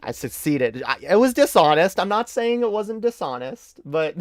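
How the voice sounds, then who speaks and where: A man from Canada hosting a casual stream, reacting to his own old clips and breaking into high-pitched laughter. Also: very animated, normal speed, no echo, clean studio sound.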